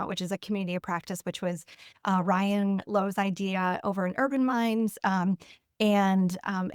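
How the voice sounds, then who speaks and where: A woman's voice: mid-range voice, smooth, no room sound, clean studio sound. A woman moderating a webinar panel, speaking in a friendly, chatty way with lots of fillers as she introduces the guests. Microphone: video call.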